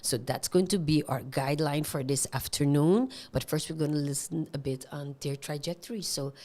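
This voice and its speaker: A woman hosting a casual education talk show, upbeat and quick to affirm her guests. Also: deep voice, a little mellow. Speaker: host. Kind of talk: casual chat.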